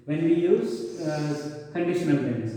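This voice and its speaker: A man's voice: a little high, expressive, a little slow, dark tone, a little hiss or hum. A male teacher with an Indian English accent, explaining grammar step by step and repeating himself often, with many fillers. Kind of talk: classroom grammar lesson. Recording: echoey hall, mic far off.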